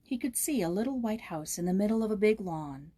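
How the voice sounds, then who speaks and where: A woman narrating a storybook aloud in a gentle, measured, expressive way. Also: mid-range voice, breathy edge, no echo.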